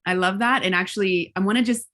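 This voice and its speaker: A woman's voice: mid-range voice, fast talker. A woman raised in the United States hosting a conversation, affirming her guest and talking loosely with many fillers. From raised between California and Georgia. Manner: warm affirmations, many fillers like you know. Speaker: host. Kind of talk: casual interview chat.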